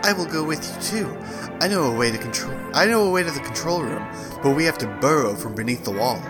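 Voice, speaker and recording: A man's voice: fairly high pitch, expressive, fast talker, music in the background, close to an everyday voice. A man playing an earnest, dramatic comic-book princess. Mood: eager. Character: princess of Atlantis, female comic-book character. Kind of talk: hammy dramatic reading of an old comic.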